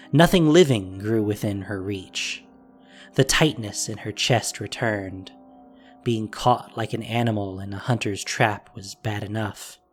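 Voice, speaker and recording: A narrator reading a scripted fantasy story in a dramatic audio drama style. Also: mid-range voice.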